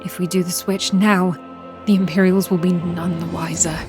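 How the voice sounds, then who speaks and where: A woman playing a resolute, war-weary young princess in a fantasy audio drama, measured, formal and earnest. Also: lowish voice, level tone, over music, close to an everyday voice. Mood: urgent, persuasive.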